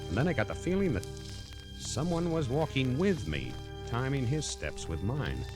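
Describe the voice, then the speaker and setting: A man's voice: medium pitch, sing-song, normal speed, over music, lightly acted. An actor playing an uneasy, suspicious widower in an old-time radio thriller, tense and hesitant, brooding as he narrates. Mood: tense, fearful.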